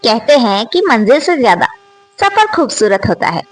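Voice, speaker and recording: A woman telling a reflective moral story in a slow, earnest manner, rising on rhetorical questions as the channel's storyteller. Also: on the high side, very animated.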